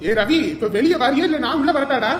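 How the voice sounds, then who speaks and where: A man's voice: very high-pitched, brisk, loud music behind the voice. Man playing a hot-tempered groom. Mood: shouting. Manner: gentle to the bride, shouting when angry.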